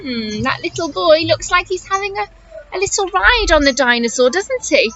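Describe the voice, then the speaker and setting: A woman teacher reading a story to young children, encouraging and expressive, asking questions and explaining as she goes. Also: very high voice, normal speed, highs cut off, slight background noise. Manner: encouraging, expressive, exaggerated intonation for small children.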